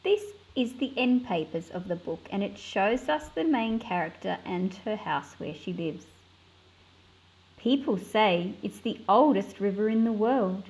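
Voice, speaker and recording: A woman's voice: medium pitch, darkish tone, poor audio. Australian woman reading to children. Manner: explanatory, simple clear phrasing for young listeners. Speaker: read-aloud host for children. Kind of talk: picture book read-aloud with explanations for children.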